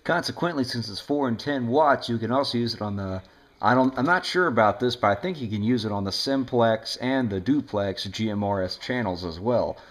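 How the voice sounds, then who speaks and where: A man's voice: medium pitch, lively intonation, fairly quick. A man chatting casually over two-way radio as an amateur operator. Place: indoors at home beside a mobile radio.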